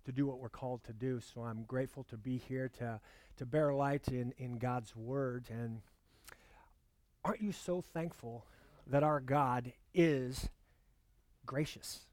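A man's voice: mid-range voice, steady tone, darkish tone, no echo. Earnest man preaching, dry close sound. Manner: earnest, measured, slows on key words. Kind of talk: sermon with scripture reading.

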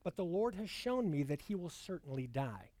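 A man preaching a sermon and reading scripture aloud in an earnest, measured way, slowing down on key words. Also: on the high side, sing-song, warm tone, no room sound.

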